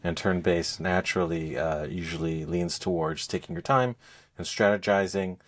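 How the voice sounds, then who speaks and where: Low-voiced man chatting about games. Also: moderate pace. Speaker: video game podcast co-host. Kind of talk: casual game discussion.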